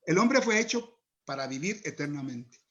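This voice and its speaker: A man with a notably high voice lays out his ideas point by point like a teacher. He speaks as an interview guest. The audio sounds dull and lo-fi. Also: animated, normal speed, fairly bright tone. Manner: didactic, step-by-step teaching. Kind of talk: explaining scripture in conversation. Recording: muffled lo-fi audio.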